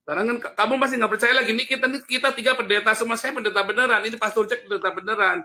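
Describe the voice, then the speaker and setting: A man with a sharp, forceful voice pitched a little high. He speaks as a pastor in a religious debate, pressing his point bluntly in informal language. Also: steady tone, very fast. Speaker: pastor on a panel.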